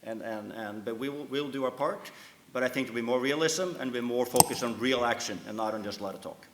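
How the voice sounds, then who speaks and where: A man speaking as a shipping company chief on a conference panel, halting, with many restarts and fillers. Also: mid-range voice, very noisy. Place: conference hall. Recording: echoey conference room.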